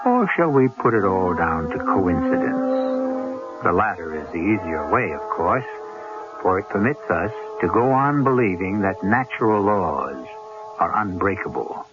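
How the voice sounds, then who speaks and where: A man hosting a radio mystery, narrating in a measured, deliberate, rhetorical way. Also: low-pitched, sing-song. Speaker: host and narrator. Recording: dull old radio recording. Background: music.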